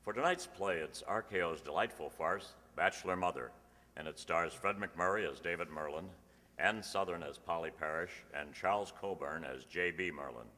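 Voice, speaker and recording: Male announcer-narrator for vintage radio comedy: extremely low, punchy tone, hall reverb. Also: normal speed, pushed and bright. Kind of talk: old-time radio announcing, scripted narration. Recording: reverberant hall stage recording.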